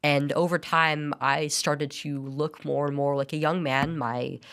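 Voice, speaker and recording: A woman's voice: deep voice, fairly even intonation, a little slow, a bit bright, clear, resonant tone. A young adult woman telling her personal story as a podcast guest, earnest and often restarting her sentences. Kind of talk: personal testimony in a podcast interview.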